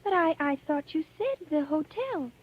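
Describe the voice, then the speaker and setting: An actress playing a naive, romantic young bride in old-time radio drama, with genteel, fluttery delivery. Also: female voice, high voice, big pitch swings, normal speed. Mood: uneasy, pleading. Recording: dull, lightly noisy vintage radio recording.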